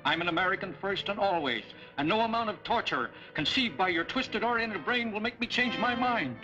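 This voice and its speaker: Forceful, indignant American captive. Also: male voice, high-pitched, animated, brisk. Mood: defiant. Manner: indignant, emphatic, earnest. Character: defiant, upright American industrialist held captive by villains. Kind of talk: 1940s film serial acting. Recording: dull 1940s film serial soundtrack, music.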